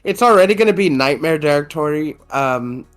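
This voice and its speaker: A woman's voice: low-pitched, expressive, moderate pace, soft background music. Exasperated, opinionated woman co-host. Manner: opinionated, exasperated, repeats herself. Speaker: podcast co-host. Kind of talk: casual chat.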